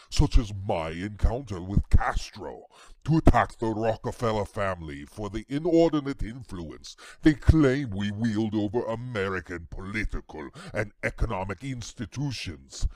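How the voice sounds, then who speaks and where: A man voicing an evil, proud elite banker in a creaky voice, reading a quote slowly and deliberately with smug menace. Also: lowish voice, a little mellow, dry recording. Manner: slow, deliberate, gloating with villainous laughs. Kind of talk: mock-villain reading of a quote.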